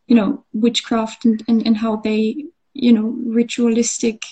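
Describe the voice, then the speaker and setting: A woman from Austria speaks as the guest in a relaxed interview. She pauses often and restarts her sentences. Her voice is mellow and fairly high, but her pitch stays quite even. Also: breathy edge, low-quality recording. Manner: hesitant, many fillers and restarts. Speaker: artist interviewee. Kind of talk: conversational interview.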